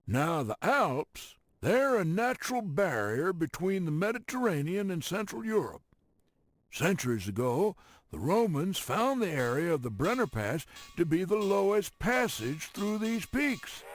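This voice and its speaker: An actor playing a wry, folksy, teasing railroad narrator in a game cutscene, giving theatrical briefings with drawn-out emphasis. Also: male voice, high voice, huge pitch swings, heavily acted. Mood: wry, grand. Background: music.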